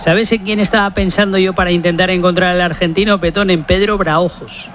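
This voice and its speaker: A man with a high voice thinks aloud on a sports panel, groping for a name. Faint noise sits under him. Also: steady tone, brisk, fairly bright tone. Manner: searching for names, thinking aloud. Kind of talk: casual sports radio chat.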